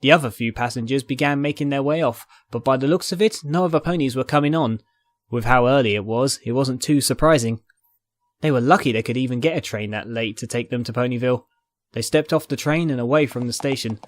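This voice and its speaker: A man's voice: mid-range voice, brisk. A man reading a story aloud as a scripted, dramatic narration. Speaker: narrator, fan fiction reader.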